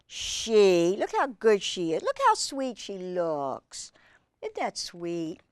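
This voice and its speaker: A woman hosting a children's storytime, reading aloud with drawn-out emphasis and chatting in asides to young listeners. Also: mid-range voice, wildly swooping pitch, smooth, no room sound. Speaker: host reading picture books to children.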